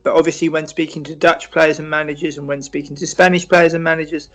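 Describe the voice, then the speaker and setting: British man, measured interview talk. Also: fairly high pitch, flat delivery, fairly bright tone. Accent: British English. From Britain. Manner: measured, reflective, frequent restarts and fillers. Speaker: football writer and interview guest. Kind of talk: conversational interview answers.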